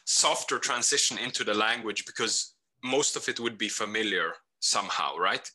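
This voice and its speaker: Man, sharp bright voice, curious, asks questions, casual call. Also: on the low side, moderate pace. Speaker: chat participant. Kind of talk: casual video call chat.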